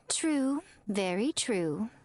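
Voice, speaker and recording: An actress playing an elegant, teasing shrine priestess in an anime game, arch and deliberate with a mock-innocent playfulness. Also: female voice, on the high side, sing-song, exaggerated voice. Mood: mock sympathy.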